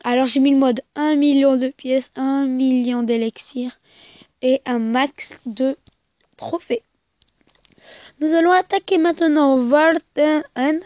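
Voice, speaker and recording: A gaming video host giving casual, halting commentary on a mobile game, often repeating and correcting phrases, on a muffled amateur recording. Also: high voice, darkish tone, no room sound.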